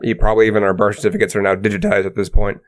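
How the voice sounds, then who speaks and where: A low-voiced man co-hosting a casual podcast. His voice is smooth and clear, with a lisp on some sounds. He talks in an informal, conversational way. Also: level tone, very fast. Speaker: podcast co-host.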